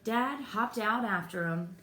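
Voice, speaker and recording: A woman reading a children's picture book aloud expressively, pausing to explain the pictures to her listeners. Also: medium pitch, pushed and bright. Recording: echoey room.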